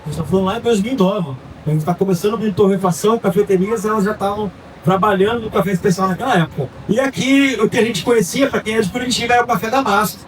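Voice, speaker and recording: A man's voice: sits high, quick pace, recorded from a distance, a little hiss or hum, rough recording. A man in his thirties, telling a story to a live audience in a chatty way full of asides. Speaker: coffee business founder giving a talk.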